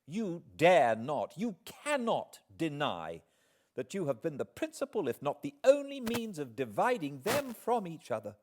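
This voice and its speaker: A man voicing a spirited, indignant young English gentlewoman with an emphatic, articulate, accusing delivery in an audiobook. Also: on the high side, wildly swooping pitch, measured pace, voice pushed up, exaggerated voice. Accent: British.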